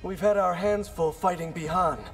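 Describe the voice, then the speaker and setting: An actor voicing a friendly, earnest young ninja sidekick in a video game, plain-spoken in manner. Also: male voice, high voice, steady tone, normal speed, soft background music. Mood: earnest friendliness. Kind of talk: video game fight-intro banter.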